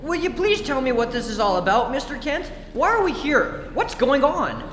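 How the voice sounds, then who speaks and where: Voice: high voice, sing-song, normal speed, fairly bright tone, a little hiss or hum. An actor playing an eager, hot-headed boy sidekick in an old-time radio serial, exclamatory and impatient, on a theatre stage. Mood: indignant. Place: theatre stage in a live performance. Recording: very echoey hall.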